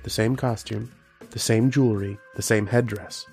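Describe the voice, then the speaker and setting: An actor playing a haunted, fearful scientist who narrates his ordeal in a dramatic, halting horror radio monologue. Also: fairly low pitch, steady tone, gravelly, darkish tone, soft background music. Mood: fearful resignation. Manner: dramatic, halting, repeating phrases.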